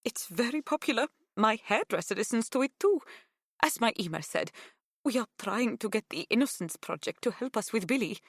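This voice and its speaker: A woman voicing a warm, chatty older mother with a Greek accent, in audiobook dialogue. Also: a little high, expressive, moderate pace, no room sound, clean studio sound, voice pushed up. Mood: grateful, warm. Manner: gushing, chatty, affectionate.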